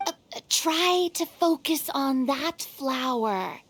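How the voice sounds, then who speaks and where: An excitable young director in an anime-style game. She is very high and piercingly bright, bossing people around with theatrical, swooping exclamations. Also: takes it slowly, slight background noise, full character voice. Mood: brisk, directing. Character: excitable bossy young female film director.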